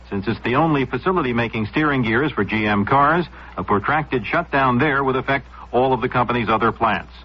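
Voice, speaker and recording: A man with an American accent reading scripted radio news in a formal, measured way. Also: medium pitch, a little hiss or hum. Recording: dull vintage radio sound.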